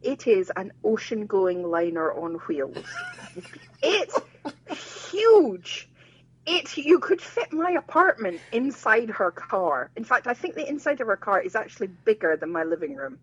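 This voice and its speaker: A woman telling a story in casual chat with animated, self-mocking humour. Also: mid-range voice, measured pace, slightly rough. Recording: dull lo-fi sound.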